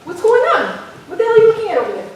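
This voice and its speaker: A woman's voice: very high voice, huge pitch swings, very fast, exaggerated voice. A woman playing a passionate young activist poet in a staged reading, speaking with emphatic rhythm. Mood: feisty, challenging. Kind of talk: spoken-word poetry and staged dialogue. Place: staged reading in an arts center hall. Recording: echoey hall, distant mic, faint noise.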